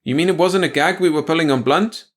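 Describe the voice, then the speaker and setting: A man's voice: on the high side, fast, pitched above the performer's own voice, lightly acted. A man voicing a mopey, lovesick young crewman in an audiobook story, talking in a slangy, defensive way.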